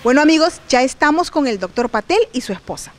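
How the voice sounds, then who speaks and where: A woman's voice: high-pitched, expressive, rapid-fire, over music. Woman, show host, chatty, upbeat, bilingual interviewer, handheld mic outdoors. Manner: upbeat, chatty, repeats questions in two languages. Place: outdoors on the porch of a large unfinished house.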